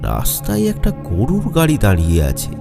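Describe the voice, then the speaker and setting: A man's voice: mid-range voice, wildly swooping pitch, fairly quick, creaky. A man narrating a scripted horror story in a suspenseful, dramatic manner, with music behind him.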